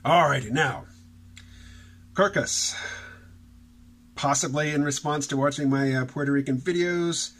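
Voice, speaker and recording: A man's voice: fairly high pitch, measured pace, pauses often, fairly bright tone. A man talking casually in a solo vlog, rambling and chuckling as he reads out viewer comments. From Southern United States. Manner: rambling, chuckling, many fillers and restarts. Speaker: vlogger.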